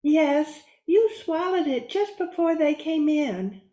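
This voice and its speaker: A woman's voice: sits high, expressive, takes it slowly, warm tone. A woman playing an innocent young niece in an old-time radio thriller, hesitant and questioning. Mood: puzzled.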